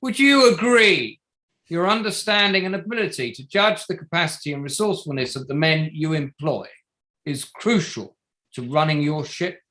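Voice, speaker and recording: A man playing a pointed, methodical barrister in a courtroom drama table read, cross-examining formally and deliberately with leading questions. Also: a little high, expressive, sharp tone. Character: pointed, methodical male barrister for bereaved families.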